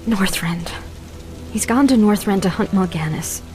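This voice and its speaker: A woman's voice: medium pitch, measured pace, music in the background, lightly acted. A woman playing an earnest, troubled young sorceress in a video game, with a pleading manner. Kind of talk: video game cutscene acting.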